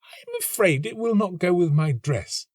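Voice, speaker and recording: A man's voice: high-pitched, animated, moderate pace, darkish tone, no room sound, studio quality, voice pushed up. A man voicing a haughty, materialistic young woman in a storybook, snobbish and dismissive. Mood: disdainful.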